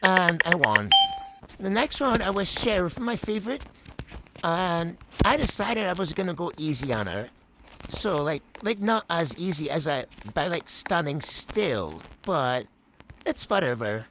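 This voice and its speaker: A speaker with a high-pitched voice giving casual, rambling voice-over commentary on their own gameplay, full of fillers. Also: very animated, unhurried, very noisy.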